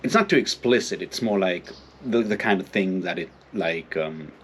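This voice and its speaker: A man giving an informal, unscripted book discussion as a video host, halting as he thinks aloud. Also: low-pitched, lively intonation, slight background noise.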